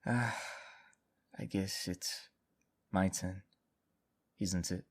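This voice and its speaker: A man playing a stubborn, protective, troubled young guardsman in an audio drama, speaking in a halting, earnest way. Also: low-pitched, steady tone, heavily acted. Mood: subdued, protective. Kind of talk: dramatic audio fiction acting.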